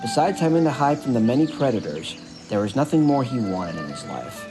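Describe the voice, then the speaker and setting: A man's voice: medium pitch, very animated, fairly quick. A man narrating a scripted story for an audio drama. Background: music.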